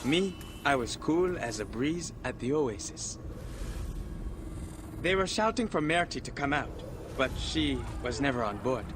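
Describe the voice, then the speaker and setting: An actor playing a boastful, cheeky thief in a video game, telling tall tales in a theatrical, self-dramatizing way. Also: male voice, medium pitch, sing-song, a little slow. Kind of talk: video game cutscene acting. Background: music.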